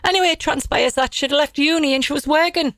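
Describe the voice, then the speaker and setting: A middle-aged woman, croaky and husky from a cold, chatting casually and teasingly as a radio host. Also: high voice, quick pace, a bit bright, no room sound, studio quality. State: has a cold. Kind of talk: casual chat.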